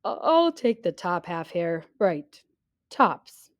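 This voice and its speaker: Woman as a slow, drawling, grumpy storybook bear. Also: lowish voice, sing-song. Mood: drowsy. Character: lazy, sleepy, grumpy big male bear.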